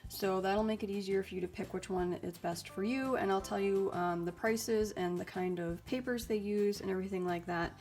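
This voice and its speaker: A young woman hosting a casual vlog, reviewing gadgets in a chatty, upbeat way with lots of ums and likes. She sounds a bit raspy and stuffy because she is getting over a cold. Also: mid-range voice, steady tone, normal speed, few pauses, darkish tone, soft background music. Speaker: YouTube host reviewing mini printers.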